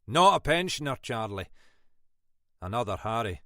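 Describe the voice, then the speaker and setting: A man's voice: mid-range voice, very animated, bright, forceful tone, no room sound. A man voicing a wry Scottish detective inspector. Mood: urgent. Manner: dry, brisk, wry. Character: shrewd older Scottish male detective inspector.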